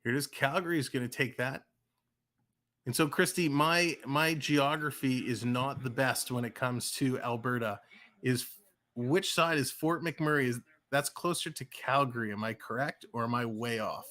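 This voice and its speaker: A man's voice: mid-range voice, moderate pace, fairly bright tone. A man from the Toronto area with a Canadian accent, hosting a livestream with casual play-by-play while chatting with viewers. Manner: chatty, reads chat aloud, laughs easily. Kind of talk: casual play-by-play with live chat.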